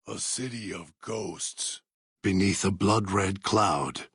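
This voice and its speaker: An actor playing a grave, ghostly storyteller in a video game, with a very breathy, husky voice. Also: male voice, low-pitched, slow pace, fairly bright tone, no echo, clean studio sound, heavily acted. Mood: grave, eerie. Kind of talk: dramatic video game voice acting.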